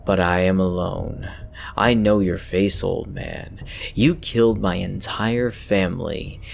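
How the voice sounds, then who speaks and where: A man as a low, menacing wooden marionette. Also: steady tone, slow pace, gravelly, a little hiss or hum, heavily acted. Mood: cold, vengeful. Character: vengeful living wooden marionette, bitter, grieving, menacing. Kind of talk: horror story character acting.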